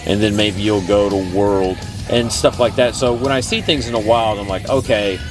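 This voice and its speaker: A man's voice: fairly low pitch, steady tone, moderate pace. A man from Texas with a Texan accent, talking casually and enthusiastically in a rambling vlog style as a retro game collector. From Texas, United States. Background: music.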